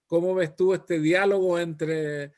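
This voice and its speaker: A Chilean man leads a panel discussion, pausing often and padding his sentences with fillers. His voice sits a little higher than most men's. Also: steady tone, a little mellow. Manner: hesitant, many fillers and "digamos". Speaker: moderator.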